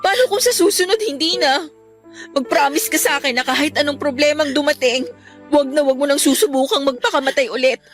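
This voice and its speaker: A woman's voice: high-pitched, fairly quick, lightly acted. A Filipino woman playing a caring, forgiving teenage best friend in a radio drama, earnest and pleading. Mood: earnest, reassuring. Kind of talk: Tagalog radio drama acting. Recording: music bed under the voice.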